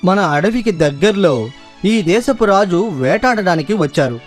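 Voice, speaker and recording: A careful monkey king in a children's cartoon story. He speaks with measured authority as a protective leader, music playing softly behind. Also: sits high, animated. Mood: foreboding. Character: cautious, wise monkey king guarding his troop.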